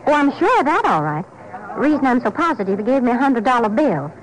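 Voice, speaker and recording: A woman's voice: a little high, big pitch swings, fast talker, poor audio. A woman playing a folksy, hesitant small-town ticket clerk in a 1950s radio western. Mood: obliging. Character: folksy, slow-recalling bus station ticket clerk woman. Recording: old 1950s radio recording.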